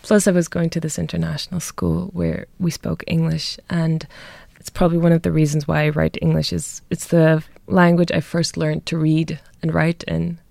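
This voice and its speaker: A woman's voice: low-pitched, level tone, moderate pace, creaky, warm tone, no echo. A young woman from Germany reading her poetry and talking thoughtfully about her life, with light laughs between her words. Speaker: poet and guest. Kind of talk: poetry reading and interview answers.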